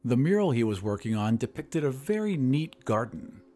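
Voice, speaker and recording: A man hosting a podcast and narrating an audio drama in a measured, expressive way. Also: mid-range voice, soft background music. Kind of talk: scripted storytelling narration.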